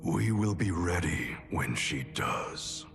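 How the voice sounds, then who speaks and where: A man's voice: animated, full character voice. An actor playing a stern, ruthless male clan grandmaster who speaks in a cold, commanding way. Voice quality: gravelly, low and measured. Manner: cold, authoritative. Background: cinematic music.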